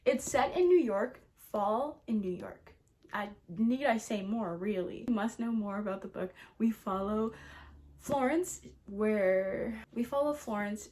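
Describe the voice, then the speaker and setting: A woman's voice: medium pitch, darkish tone. A woman book vlogger talking casually to her viewers in a gushing, emphatic way, often repeating words for emphasis. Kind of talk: casual chatty video talk about books.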